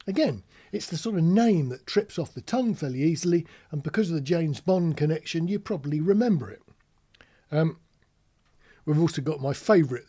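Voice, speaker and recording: A man's voice: fairly high pitch, dark tone, slightly rough. A British man giving an informal instructional monologue as a host, chatting through examples and tossing in rhetorical questions. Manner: conversational, rhetorical tag questions, spells out words. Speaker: podcast host, radio instructor.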